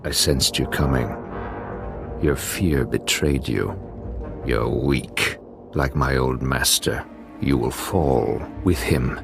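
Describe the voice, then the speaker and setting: Sinister game villain, bottomless gravelly voice, slow, contemptuous, music behind. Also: male voice, rumbling low, full character voice. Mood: menacing contempt. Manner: slow, menacing. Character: menacing, contemptuous male dark-side villain. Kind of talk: video game cutscene acting.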